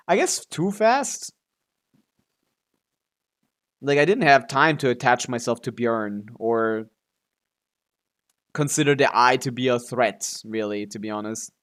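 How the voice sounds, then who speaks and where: A man chatting casually as he livestreams a video game, rambling and complaining with plenty of swearing. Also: mid-range voice. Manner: profane, complaining. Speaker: live streamer playing a video game. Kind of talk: casual rambling gaming chat with viewers.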